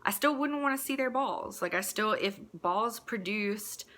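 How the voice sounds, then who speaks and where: A woman's voice: medium pitch, expressive, measured pace, sharp tone. A woman in a casual, opinionated rant, speaking in a blunt, crude and exasperated manner with mocking asides. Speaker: host delivering a personal rant.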